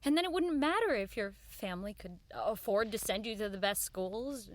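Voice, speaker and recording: A woman's voice: medium pitch, animated, moderate pace, slight background noise, lightly acted. A woman acting in a radio drama as an earnest, idealistic broadcaster, restrained and a little hesitant. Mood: hopeful, fervent.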